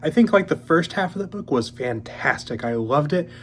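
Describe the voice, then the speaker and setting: A man's voice: a little high, normal speed, slight background noise. Sniffly young man chatting about books. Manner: relaxed, rambling, many ums and uhs. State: sniffly, recovering from a cold. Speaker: book channel host. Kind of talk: casual monthly reading update.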